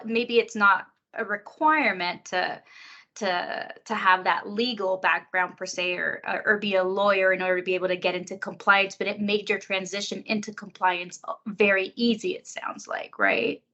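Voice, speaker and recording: A woman's voice: medium pitch, a bit bright, muffled recording. A woman with a mid-range voice hosts an interview. She asks her questions hesitantly, stumbling and restarting as she goes.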